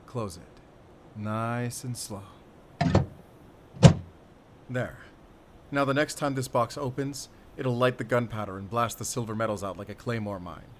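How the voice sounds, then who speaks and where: A man playing a battle-worn, calm and wry werewolf hunter in an audio roleplay, speaking deliberately in short, paused phrases with quiet tenderness. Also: mid-range voice, very noisy, natural voice. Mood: focused. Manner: measured, deliberate, pausing between short phrases. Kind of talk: cinematic audio roleplay acting.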